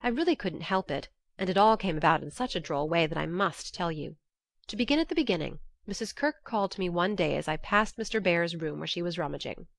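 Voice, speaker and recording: A woman's voice: fairly low pitch, animated, brisk, gravelly, no room sound. A woman narrating an audiobook, reading a classic novel's first-person letters as scripted narration.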